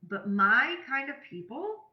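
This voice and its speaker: A woman's voice: high-pitched, sing-song, measured pace. A woman giving a casual, chatty instructional talk as a business coach, in a conversational manner. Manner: chatty, conversational, acts out example messages. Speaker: business coach presenting a tutorial. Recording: roomy echo, slightly dull audio.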